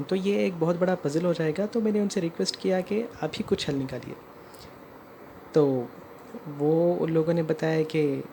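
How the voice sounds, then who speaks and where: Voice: medium pitch, warm tone. An Indian vlogger explaining a personal customer complaint in narration that mixes in English phrases. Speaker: customer narrating own complaint as video creator. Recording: clear background noise.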